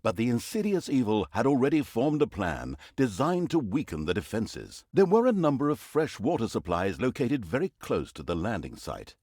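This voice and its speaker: An actor playing a sardonic, theatrical narrator of a villainous fantasy game tale, with a mock-grandiose storybook delivery. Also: male voice, mid-range voice, big pitch swings, normal speed, hardly any pauses, a little mellow, no echo, studio quality. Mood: sly, mock-grand. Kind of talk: scripted comic storybook narration.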